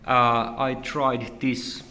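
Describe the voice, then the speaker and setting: A man from Finland, a native Finnish speaker with a Finnish accent, giving a casual, unscripted review in a hesitant, halting and repetitive way. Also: medium pitch, level tone, pushed and bright. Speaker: video reviewer. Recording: echoey room with long reverb.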